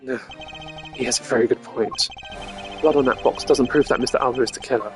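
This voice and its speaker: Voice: medium pitch, fairly even intonation, fairly quick, over music, natural voice. Streamer acting a stern, formal male prosecutor. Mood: brooding.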